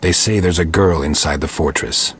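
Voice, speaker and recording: A man playing a stoic, terse monster hunter in a video game, speaking in a dry, questioning way with a husky rasp. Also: a bass voice, moderate pace, slight background noise. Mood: terse, reserved. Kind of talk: video game dialogue acting.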